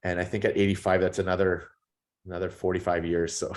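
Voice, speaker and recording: A man in his thirties answering interview questions in a relaxed, reflective way, often repeating words as he gathers his thoughts. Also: deep voice, fast talker. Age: 30s. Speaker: podcast guest.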